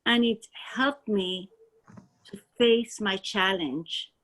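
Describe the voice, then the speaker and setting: A woman with a Persian accent who shares a personal story in a halting, earnest way, with many restarts, as a class participant. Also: mid-range voice, a bit bright, rough recording. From Iran. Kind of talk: personal testimony.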